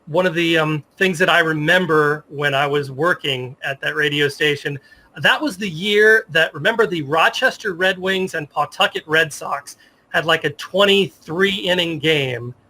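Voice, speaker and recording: A man's voice: fairly high pitch, moderate pace, sharp tone. An American man in his fifties telling stories in a casual interview, with an enthusiastic, reminiscing manner. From Virginia, United States. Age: 50s. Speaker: interview guest, sports media professional.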